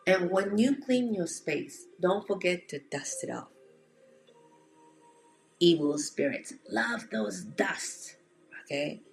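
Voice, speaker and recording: A woman with a Japanese accent coaches listeners in a casual, direct teaching style. Her pitch sits a little low and her pace is slow. She checks in with the listener plainly and encouragingly, over faint background music. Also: poor audio. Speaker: home organizing coach. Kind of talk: instructional presentation, casual and direct.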